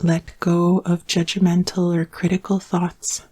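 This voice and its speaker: Female meditation guide, mellow and dark in tone, steady and even in pitch, soothing, unhurried delivery. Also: husky. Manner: calm, soothing, unhurried instruction with long pauses. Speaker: yoga nidra meditation guide.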